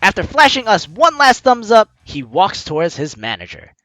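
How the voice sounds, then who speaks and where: A man playing a visual novel, reading the text aloud with excitable, joking reactions and the odd stumble, over music. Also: high voice, normal speed, dry recording. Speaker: let's player. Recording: music behind the voice.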